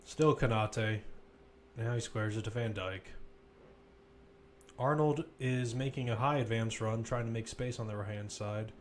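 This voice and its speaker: A man's voice: fairly low pitch, measured pace, long pauses, a little hiss or hum. A man commentating live on a football match, mixing play-by-play calls with informal, conversational analysis.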